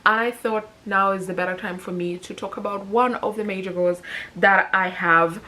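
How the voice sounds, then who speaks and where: A woman's voice: mid-range voice, fairly even intonation, normal speed, bright, forceful tone. Chatty woman in her thirties, self-correcting. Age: 30s. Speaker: personal finance YouTuber. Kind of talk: casual talk to camera.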